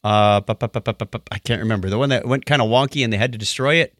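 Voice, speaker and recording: A man's voice: lowish voice, rapid-fire, a bit bright, no echo, clean studio sound. A man chatting casually about science news as a podcast co-host, with wry, joking asides.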